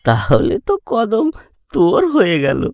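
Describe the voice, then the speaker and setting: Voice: mid-range voice, wildly swooping pitch, a little slow, vocal fry, a little hiss or hum, heavily acted. An actor voicing a crude, teasing young village lad in a Bengali comedy cartoon, jeering mischievously over music. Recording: loud music behind the voice.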